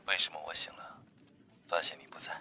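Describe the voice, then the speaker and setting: 一名男演员在广播剧里饰演一个沉稳宠溺、爱逗人的年长男友，说话温柔从容。. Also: male voice, very deep voice, level tone, slight background noise, full character voice. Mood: affectionate. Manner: tender, unhurried, gently teasing. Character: calm, doting, teasing older boyfriend. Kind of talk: Chinese audio drama acting. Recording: music under the voice. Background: background music.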